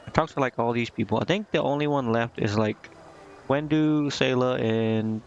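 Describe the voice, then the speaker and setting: A man's voice: mid-range voice, normal speed, lo-fi sound, a little hiss or hum. A man giving casual live gameplay commentary, muttering and thinking aloud with hesitation. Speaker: game streamer. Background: game music.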